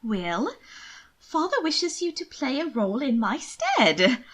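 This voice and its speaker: A woman's voice: high-pitched, wildly swooping pitch, slow pace, fairly bright tone, pitched above the performer's own voice, full character voice. A woman voicing a pampered, manipulative young noblewoman in an audio story, with a sweet, cajoling, emphatic delivery. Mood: insistent. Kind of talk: expressive audiobook character reading.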